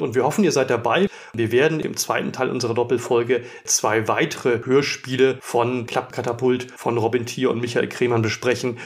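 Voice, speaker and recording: A man hosting a podcast, talking with his guests in a conversational interview style. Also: mid-range voice. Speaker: podcast host.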